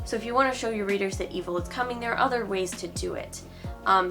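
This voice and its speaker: A woman's voice: medium pitch, gravelly. A woman hosting a writing advice video, giving opinionated commentary in an informal, sarcastic way. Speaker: host of a writing advice channel.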